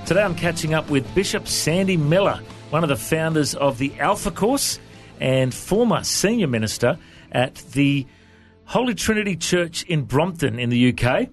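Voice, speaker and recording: A man's voice: fairly high pitch, lively intonation, moderate pace. Male studio host, cheerful, easy laughter, faint music underneath. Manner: upbeat, friendly, laughs easily. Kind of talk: studio interview. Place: television studio.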